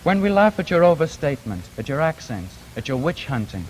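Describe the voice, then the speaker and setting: A man with a British accent speaking in an articulate, measured way in a formal panel discussion on a 1950s recording. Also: fairly high pitch, sing-song. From Britain. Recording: noisy 1950s archive broadcast recording.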